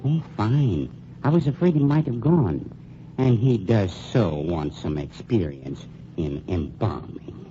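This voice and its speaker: An actor playing a suave, sinister middle-aged funeral director, calm and menacing in 1940s radio acting style. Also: male voice, on the low side, slow, background noise. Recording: muffled 1940s radio recording.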